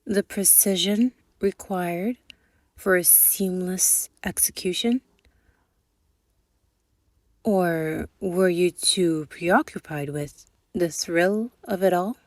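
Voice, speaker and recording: Brief: cool, exacting female thief boss in a soft ASMR roleplay; formal, deliberate, lower register, whispering at times, voiced by a young woman. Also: no room sound. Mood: stern scolding. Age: young adult. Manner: measured, precise, formal scolding. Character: stern, calculating female thief boss, secretly tender.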